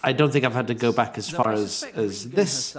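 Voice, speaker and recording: A man with a medium-pitched voice talking casually as a voice actor on a commentary track. He is reflective, picking his words hesitantly, with some self-deprecation. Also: normal speed, crowd noise.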